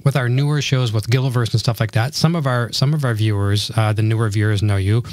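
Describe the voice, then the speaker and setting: A middle-aged man with a slightly hoarse voice, hosting a casual conversational interview. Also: fairly low pitch, steady tone, fast talker, darkish tone. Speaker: show host interviewing a guest.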